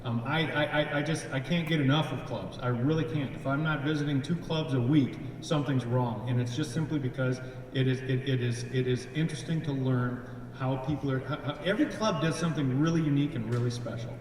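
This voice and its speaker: A man's voice: medium pitch, fairly quick, darkish tone, a little hiss or hum. A man who chairs membership, giving an enthusiastic presentation full of earnest restarts. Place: large event room. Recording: echoey hall from far off.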